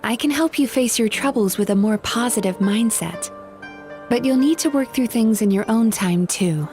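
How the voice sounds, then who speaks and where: A kind young spirit who eats bad dreams speaks softly and politely in a soothing, slightly high voice, as a woman plays her. She talks like a gentle healer reassuring her patients, in a video game scene with faint music behind her. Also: moderate pace, close to an everyday voice. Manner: soft, polite, soothing, a little flustered. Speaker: dream therapist.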